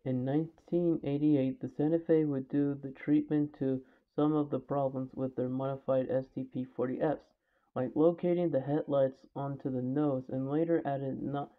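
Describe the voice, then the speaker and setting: A man's voice: mid-range voice, flat delivery, unhurried. A man reading scripted documentary narration, occasionally stumbling over the script. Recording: tinny, phone-like narrow band.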